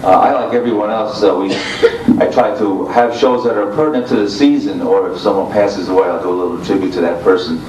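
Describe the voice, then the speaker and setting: American male radio host, fairly low register, halting panel talk, recorded at a distance with faint noise. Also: fairly even intonation, darkish tone. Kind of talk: informal explanatory panel talk. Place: convention room before an audience. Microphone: informal video recording, mic at a distance. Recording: echoey room, distant mic, faint noise.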